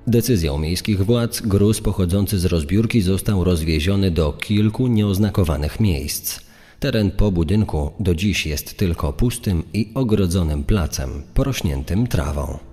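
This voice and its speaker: A man's voice: low voice, measured pace, warm tone, in a large hall, faint music behind. A man reading scripted true-crime narration for a podcast.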